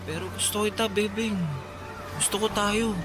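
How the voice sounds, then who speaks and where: Casting: man as eager teenage sweetheart, very high male voice, earnest, halting, pleading, radio drama with music underneath. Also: little pitch movement, natural voice. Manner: hesitant, pleading. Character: eager, lovestruck, earnest young fairground boy. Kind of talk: Filipino radio drama acting.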